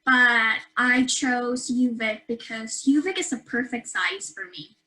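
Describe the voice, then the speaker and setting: A young woman from the Philippines talks about her studies in a chatty, easygoing way. Her voice is bright and a little high. She fills her talk with small pauses and fillers. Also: low-quality recording. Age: young adult. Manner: chatty, with fillers and light laughs. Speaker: university student. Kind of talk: casual webinar introduction.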